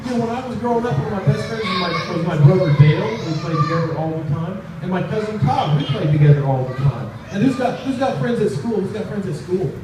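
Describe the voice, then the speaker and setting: High-voiced man in a very echoey room. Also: lively intonation, fast talker, few pauses. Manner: repeats phrases, teasing build-ups to the audience. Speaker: children's puppet show magician and host. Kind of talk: live children's magic show patter. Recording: echoey, noisy, distant live recording.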